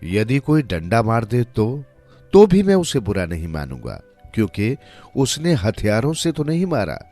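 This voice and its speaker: A man as an earnest, forgiving disciple, animated delivery. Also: lowish voice, a little slow, voice pushed up, heavily acted. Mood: earnest, accepting. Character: devout, unshakably forgiving young male disciple. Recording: music under the voice.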